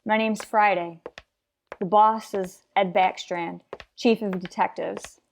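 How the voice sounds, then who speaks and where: An actress plays a tough female detective sergeant in an old-time radio crime drama. She narrates in a terse, deadpan style at a middling pitch, all business and matter-of-fact. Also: slow pace, vocal fry, slight background noise. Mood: businesslike. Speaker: narrator and lead actor.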